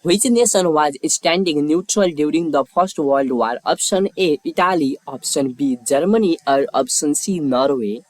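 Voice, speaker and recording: A man hosting a quiz, reading scripted questions and lettered options in a measured, formulaic way. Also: on the high side, no room sound, poor audio. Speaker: quiz host.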